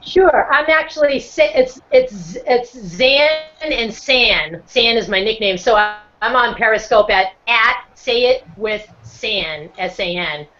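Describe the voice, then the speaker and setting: A woman's voice: fairly high pitch, lively intonation, slow pace, hardly any pauses, fairly bright tone. A middle-aged woman from Boston with a Boston accent, talking at length and earnestly as a guest speaker on a video call. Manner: talkative, earnest, self-described talker. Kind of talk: casual online chat. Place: home setup on a video call. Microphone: computer microphone on a video call. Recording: dull lo-fi video-call audio.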